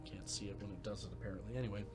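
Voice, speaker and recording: A man's voice: lowish voice, fairly even intonation, rapid-fire, loud music behind the voice. A man in his thirties streaming a game from a home desk, reading its dialogue aloud and joking along with his chat. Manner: joking, self-mocking.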